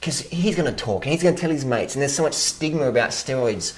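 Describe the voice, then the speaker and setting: A man speaks off the cuff at a middling pitch, like an online commentator answering his viewers. He is blunt and opinionated, swears freely, and hammers points home by repeating them. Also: animated, quick pace, rough recording. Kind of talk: casual unscripted rant.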